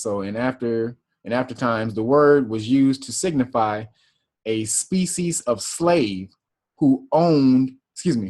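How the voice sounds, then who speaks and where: A man's voice: mid-range voice, a little mellow. Laid-back man teaching a casual class. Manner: loose slangy delivery with profanity and laughter. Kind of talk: casual lecture reading definitions aloud.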